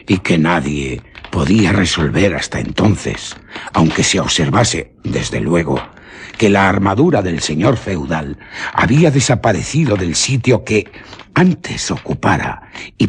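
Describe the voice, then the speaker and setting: A man narrating a literary horror tale for radio in theatrical, deliberate, suspenseful phrasing. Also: low voice, husky.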